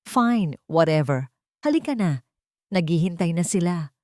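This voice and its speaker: A woman's voice: lowish voice, lively intonation, measured pace, darkish tone, no room sound, studio quality. A woman voicing a domineering, menacing husband in an audio drama, curt, impatient and threatening. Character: domineering, menacing husband, a cold scheming groom.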